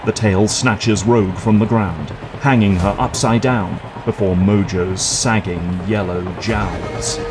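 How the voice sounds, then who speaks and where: A man's voice: lowish voice, fairly even intonation, slow pace, hardly any pauses, loud music behind the voice. A man reading scripted, dramatic scene narration for an audio drama.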